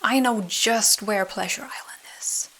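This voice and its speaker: Woman as a sly, breathy storybook coachman. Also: medium pitch, a little slow, pushed and bright, in a whisper, low-quality recording. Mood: coaxing. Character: sly male coachman luring and selling boys. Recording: rain falling behind the voice.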